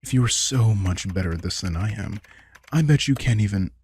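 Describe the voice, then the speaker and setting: Man playing a husky, low-voiced sardonic vampire. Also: expressive, brisk, a little mellow. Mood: grumpy, exasperated. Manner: sardonic, informal, swears freely. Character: old vampire man, grumpy, sardonic, protective mentor. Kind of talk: intimate scripted audio roleplay.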